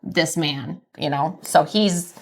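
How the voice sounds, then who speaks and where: A woman's voice: deep voice, slow pace, creaky. A woman hosting a podcast, asking questions in a casual, conversational way. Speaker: podcast host.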